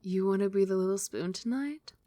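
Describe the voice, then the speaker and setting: A woman voicing a doting, possessive vampire queen in an ASMR roleplay, speaking softly and breathily, often dropping into a whisper, with tender, regal endearments. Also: medium pitch, a little fast, no room sound, close to an everyday voice. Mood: soothing, protective. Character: doting, possessive vampire queen soothing her beloved pet.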